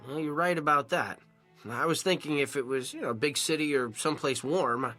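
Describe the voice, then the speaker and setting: A man's voice: fairly high pitch, a little fast, bright, forceful tone, faint music behind. An actor playing an easygoing, whimsical, joking man with a breezy, playful delivery. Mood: kindly, weary. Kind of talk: acted audio drama dialogue.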